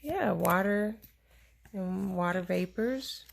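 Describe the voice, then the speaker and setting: A woman reading a children's science picture book aloud, phrasing it simply and deliberately for a young listener. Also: mid-range voice, creaky.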